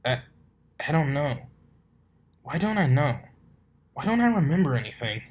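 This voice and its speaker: A man's voice: fairly high pitch, big pitch swings, moderate pace, pitched above the performer's own voice. Man doing a cocky, angry young pegasus stallion. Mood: confused frustration. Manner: defiant, blustering. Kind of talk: amateur storybook character reading.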